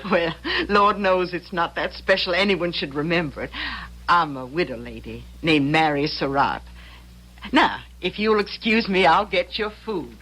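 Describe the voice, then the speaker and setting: An actress playing a chatty, folksy middle-aged widow who runs a boarding house, in an old-time radio drama. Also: female voice, on the low side, a little slow, fairly bright tone, slight background noise, rough recording. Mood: genial, teasing. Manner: folksy, gushing, chuckling old-fashioned talk.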